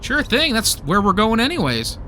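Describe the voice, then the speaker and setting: A man's voice: high voice, huge pitch swings, normal speed, bright, forceful tone, slight background noise, full character voice. A man acting in a radio play as a breezy, impulsive, good-natured driver with casual, chatty talk. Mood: easygoing, upbeat.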